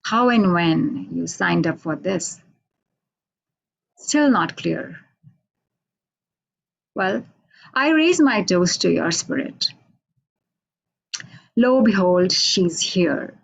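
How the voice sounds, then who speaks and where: A woman from India with an Indian accent, a Hindi native speaker, giving a halting, unhurried poetry reading over a video call from a radio station office. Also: on the low side, lively intonation, vocal fry, rough recording. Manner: unhurried, halting, with many fillers. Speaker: poet.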